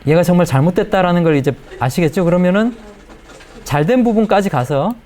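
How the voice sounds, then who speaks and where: Higher-pitched middle-aged man teaching software. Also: a little fast. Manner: explanatory, step by step, often checking with rhetorical questions. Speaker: video editing instructor. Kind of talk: spoken screen-recorded software tutorial.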